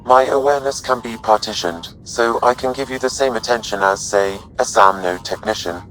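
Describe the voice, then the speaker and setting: An actor voicing a calm, courteous male artificial intelligence in a video game, speaking in measured, formal, precise phrases. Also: medium pitch, slight background noise, close to an everyday voice, low-quality recording. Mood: calm, explanatory.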